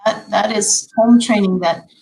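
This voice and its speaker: A woman's voice: mid-range voice, steady tone, measured pace, vocal fry, a little mellow, rough recording. Earnest Minnesotan woman in her forties. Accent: Minnesotan American. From Minnesota, USA. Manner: earnest and reflective, many ums and restarts. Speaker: educator and panel guest. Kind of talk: conversational panel discussion.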